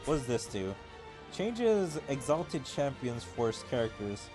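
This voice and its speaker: A man's voice: medium pitch, very animated, takes it slowly, music in the background. A man giving casual game commentary as a streamer. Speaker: gaming streamer.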